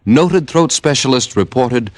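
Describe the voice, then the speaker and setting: A man's voice: mid-range voice, animated, a little slow, dry recording. A man with an American accent reading an old-time radio advertisement as announcer, in a polished, emphatic sales manner.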